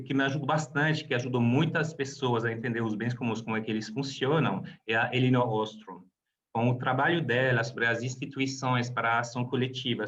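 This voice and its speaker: A man giving a webinar talk as a guest professor, speaking Portuguese that is not his first language, hesitant and full of restarts. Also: medium pitch. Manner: hesitant, with many restarts and repeated words. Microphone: video call. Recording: slightly dull video-call audio.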